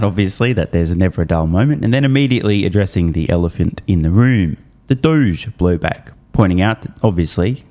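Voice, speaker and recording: A man's voice: deep voice, darkish tone. A man delivering a scripted recap commentary as a channel host, emphatic and opinionated, with casual, irreverent asides. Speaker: host of an investor news channel.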